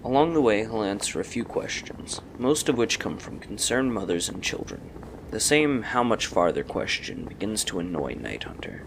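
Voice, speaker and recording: A man's voice: fairly low pitch, big pitch swings, normal speed, creaky, background noise. A man reading scripted fantasy story narration as the narrator of an audio drama.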